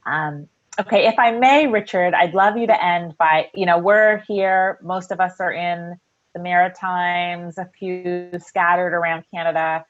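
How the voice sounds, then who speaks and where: A woman's voice: on the low side, steady tone, a little slow, muffled recording. A woman hosting a video call event, graciously inviting questions and thanking speakers. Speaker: event host and moderator.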